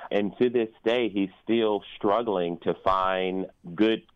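An American man speaking as a guest in a conversational radio interview, reflective and often halting as he searches for his words. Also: fairly low pitch, fairly even intonation, smooth, dry recording, muffled recording. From United States. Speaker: opera singer and interview guest.

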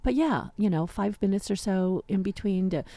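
A woman's voice: medium pitch, moderate pace, mellow, dry recording. An American woman hosting a radio show, chatting casually and informally. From United States. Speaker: radio host. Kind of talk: casual interview chat. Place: radio studio.

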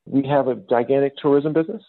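Gravelly middle-aged American man, muffled line. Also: medium pitch, fairly even intonation, dry recording. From south side of Chicago, United States. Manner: explanatory, measured, often says "you know". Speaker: interview guest, business school dean. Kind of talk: conversational interview answers.

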